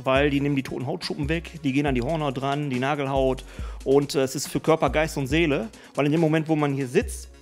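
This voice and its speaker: A middle-aged man and spa owner answering interview questions in a brisk, colloquial way, speaking into a handheld mic. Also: a little high, fairly even intonation, faint music behind. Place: indoor spa studio. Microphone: handheld mic held by host.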